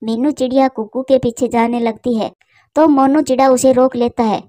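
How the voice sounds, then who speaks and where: A woman's voice: high voice, steady tone, normal speed, mellow, no echo. A woman reading scripted narration for a cartoon story as its narrator.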